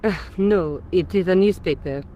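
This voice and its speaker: A woman voicing a cool, businesslike young French photojournalist in a video game, brisk and guarded. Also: lowish voice, muffled recording, a little hiss or hum, lightly acted.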